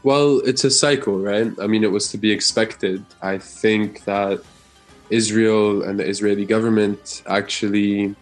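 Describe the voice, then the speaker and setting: A young man from the Gaza Strip answering interview questions in a measured, earnest way, with some fillers. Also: fairly low pitch. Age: young adult. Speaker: interview guest.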